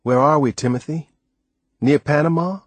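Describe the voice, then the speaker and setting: A man's voice: fairly high pitch, normal speed, dry recording, pitched above the performer's own voice, lightly acted. A man playing an anxious young American boy who speaks in plain questions in an audiobook. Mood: anxious questioning. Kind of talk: audiobook character voice.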